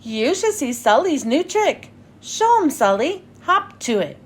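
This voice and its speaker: A chatty, teasing monster sidekick voiced by a woman storyteller with a wisecracking way of talking. The pitch is high and very lively. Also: a little slow. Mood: playful.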